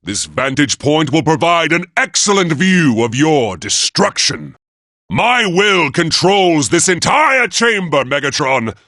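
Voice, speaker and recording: A man's voice: a little high, big pitch swings, slow, pushed and bright, no echo, studio quality, heavily acted. A man voicing a proud, noble robot leader in a video game, with a formal, declamatory and grandiose delivery. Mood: imperious, defiant. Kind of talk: theatrical video game voice acting.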